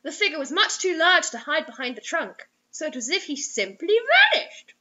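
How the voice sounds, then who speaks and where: A woman's voice: high voice, animated, a little fast, very noisy. A young adult woman reading a story aloud dramatically, with sarcastic, mocking commentary. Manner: theatrical, sarcastic. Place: small room indoors. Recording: dull lo-fi webcam-style sound.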